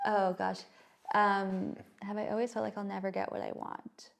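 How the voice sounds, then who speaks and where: A soft-voiced woman, a playwright guest on a podcast, talking in a hesitant, reflective way with many fillers and restarts. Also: medium pitch, level tone, moderate pace, gravelly. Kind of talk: casual podcast conversation.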